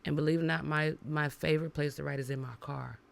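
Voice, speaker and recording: A woman singer, a guest on a podcast, talking in a relaxed, chatty way and laughing easily. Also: low voice, fast talker, creaky. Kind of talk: casual interview chat.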